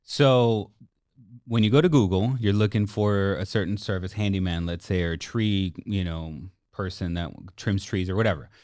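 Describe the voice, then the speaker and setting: A man's voice: sits low, normal speed, darkish tone, dry recording, clean studio sound. A man co-hosting a business podcast, explaining things informally and speaking straight to the listeners. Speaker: podcast co-host, marketing agency coach. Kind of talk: casual business podcast conversation.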